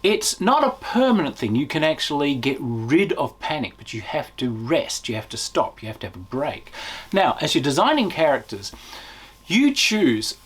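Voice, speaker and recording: A man reviewing a tabletop game in a casual, unscripted way, animated and chatty as he explains. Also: on the high side, moderate pace, slightly rough.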